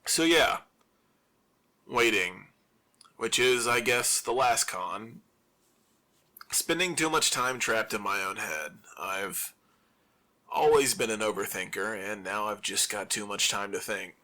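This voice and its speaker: Male actor as anxious male survivor, low pitch, bright edgy tone, halting, rambling, self-deprecating, confessional radio drama monologue. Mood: weary, resigned. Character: anxious, overthinking male zombie apocalypse survivor broadcasting alone. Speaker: fictional radio broadcaster.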